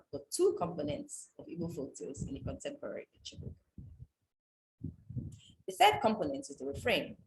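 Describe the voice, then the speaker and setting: A woman from Nigeria, reading a prepared talk on folktales in a measured, explanatory way. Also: lowish voice. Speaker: writer presenting on folktales. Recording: echoey room with background noise.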